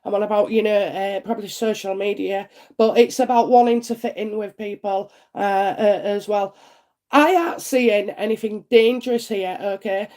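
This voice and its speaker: A woman's voice: medium pitch, fairly even intonation, normal speed, mellow. A woman with a congested head cold, chatting on a live stream as a psychic reader and answering viewer comments in a homely way. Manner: chatty and homely, many "uh" fillers, asks "isn't it?".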